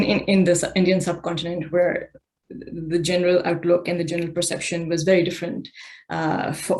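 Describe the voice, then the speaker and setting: A woman with a lowish voice whose first language is Urdu talks through her own artwork. She speaks thoughtfully and haltingly, restarting her sentences often. The sound comes through a video call. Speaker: exhibiting artist. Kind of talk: explaining her own artwork in a casual talk.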